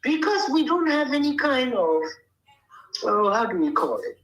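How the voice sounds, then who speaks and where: A woman's voice: high voice, very animated, a little slow. Older woman, interview guest; forthright, insistent, roomy sound. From Liberia. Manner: blunt, emphatic, asks "you understand?". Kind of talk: casual interview talk.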